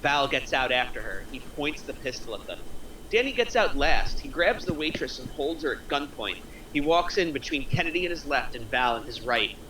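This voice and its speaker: A man's voice: medium pitch, expressive, normal speed, noisy background. A man reading stage directions as the narrator at a script table read.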